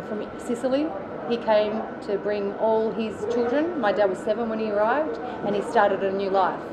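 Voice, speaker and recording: Australian actress, chatty interviewee, loads of fillers, loud background noise. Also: female voice, a little high. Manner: chatty, many fillers and restarts. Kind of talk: casual interview answers.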